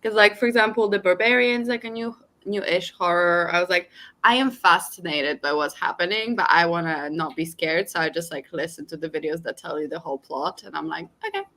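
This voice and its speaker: Female co-host, casual and informal, bright tone sitting a little low. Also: a little fast. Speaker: co-host of reading livestream. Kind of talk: casual chat.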